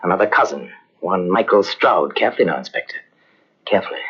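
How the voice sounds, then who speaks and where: Deep husky grave old radio mystery narrator, dull old tape sound. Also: male voice, animated, fast, full character voice. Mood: solemn. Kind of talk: 1950s radio drama narration.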